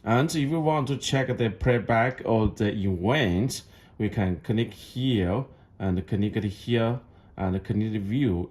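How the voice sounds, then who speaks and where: A man who speaks English as a second language gives an unscripted step-by-step product demonstration, halting and repeating phrases as he goes. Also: lowish voice. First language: not English.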